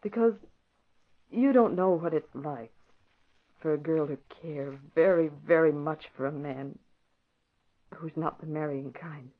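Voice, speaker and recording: A woman's voice: low-pitched, a little slow, long pauses. A knowing, wistful newspaper secretary in an old radio drama. She takes her time with each phrase, sounding wry and yearning. The broadcast sound is dull and dated. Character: wry, wistful office secretary woman eager to be reporter. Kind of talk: 1940s radio drama acting. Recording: muffled vintage radio broadcast.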